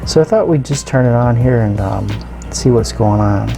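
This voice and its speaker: A man casually demonstrating a product, explaining it step by step in a halting, informal way. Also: medium pitch, vocal fry, noisy background. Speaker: radio reviewer and video host.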